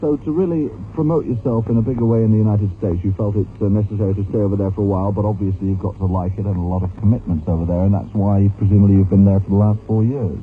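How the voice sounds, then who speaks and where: A British man presents a live radio interview in a fairly low voice. His phrasing is wordy and jokey, like an announcer's. The sound is very thin and band-limited, like an old broadcast, with some hiss. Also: steady tone, racing, hardly any pauses, phone-quality sound. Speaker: radio programme host.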